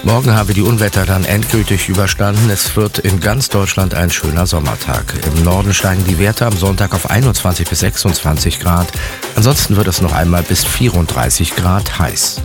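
Deep-voiced male radio newsreader. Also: a little fast, over music. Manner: even, formal news delivery. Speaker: news anchor. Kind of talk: read radio news bulletin. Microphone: studio microphone.

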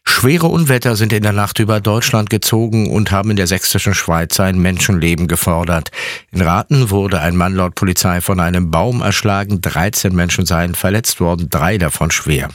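A man reading a radio news bulletin and weather forecast in an even, formal manner. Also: low voice, moderate pace, dry recording, studio quality. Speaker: news anchor. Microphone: studio microphone.

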